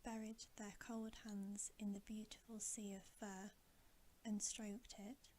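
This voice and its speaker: A woman whispering a storybook narration in a hushed, gentle ASMR style. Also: mid-range voice, level tone, slow pace, slight background noise. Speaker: ASMR channel host reading a bedtime story.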